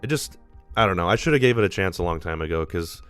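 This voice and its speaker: A very deep-voiced man rambles casually through a live movie discussion, sick and a bit nasal. His voice comes through smooth and clear over faint background music. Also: animated, quick pace. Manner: rambling, casual, swears freely. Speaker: movie review host. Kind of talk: casual live-stream commentary.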